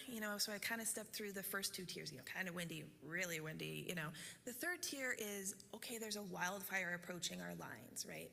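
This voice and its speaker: A woman's voice: mid-range voice, gravelly, sharp tone. A woman from a utility company presenting at a public forum, casual, with lots of fillers and "right?" check-ins. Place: large meeting hall. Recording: reverberant hall.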